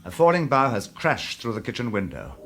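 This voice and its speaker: A man narrating an audio drama in a deliberate, expressive, dramatic storytelling style. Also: mid-range voice, noisy background. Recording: loud music under the voice. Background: music.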